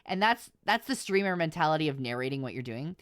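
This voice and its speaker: A woman's voice: deep voice, lively intonation, fast, dry recording, studio quality. A woman streamer giving advice to her viewers in informal livestream chat, repeating her points for emphasis.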